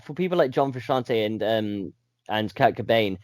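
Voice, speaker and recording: A man's voice: on the low side, animated, moderate pace, no room sound. A man co-hosting a music podcast, talking with rambling enthusiasm. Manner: enthusiastic, rambling, repeats words. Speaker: podcast co-host. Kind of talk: casual chat about music.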